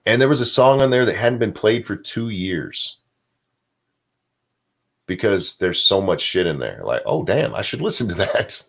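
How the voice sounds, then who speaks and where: A man with a very deep voice, co-hosting a casual livestream chat, rambling and swearing freely while he hunts for names he has forgotten. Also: animated, moderate pace, gravelly, fairly bright tone. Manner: profane, rambling, trails off searching for names. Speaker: podcast co-host.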